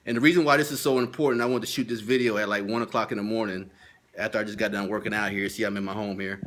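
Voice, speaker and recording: A man's voice: on the low side, steady tone, very fast, a bit bright, slight background noise. A man speaking as a sales training consultant in an informal video lesson, stressing and repeating his key points. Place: at home.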